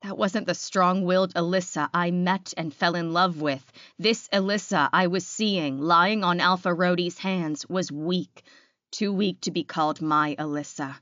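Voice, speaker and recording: A woman's voice: fairly low pitch, fairly even intonation, measured pace, a bit bright, dry recording, dull audio. A woman reading a scripted audiobook, narrating dramatic fiction in the first person.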